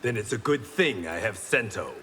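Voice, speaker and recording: A man's voice: on the low side, animated, normal speed, background noise, natural voice. An actor playing a proud, wry blind swordsman and former gangster, speaking in dry, guarded, terse lines in a video game. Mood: stern. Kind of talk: video game fight banter. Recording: reverberant game hall sound.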